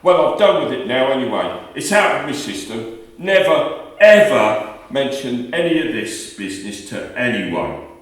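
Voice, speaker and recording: A man's voice: medium pitch, big pitch swings, measured pace. A man playing a guilt-ridden, hot-tempered working-class labourer in a radio play, talking bluntly and colloquially. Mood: angry. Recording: very echoey room, distant mic.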